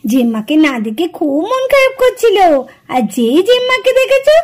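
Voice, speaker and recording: A very high-voiced, doting ghost auntie played by a woman in a puppet cartoon. She coos and exclaims over a baby and is quick to scold. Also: sing-song, a little mellow. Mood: tender cooing.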